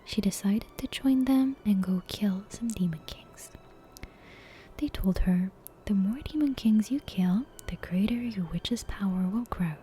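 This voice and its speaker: A woman reading a bedtime story for ASMR in a breathy, unhurried whisper, soft and hushed. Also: medium pitch, a little hiss or hum. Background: soft game soundtrack ambience.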